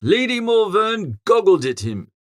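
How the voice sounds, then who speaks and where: A man reads a comic first-person story aloud with lively swings in a fairly high voice. The recording is close and dry, in audiobook style. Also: unhurried, dry recording. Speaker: audiobook narrator.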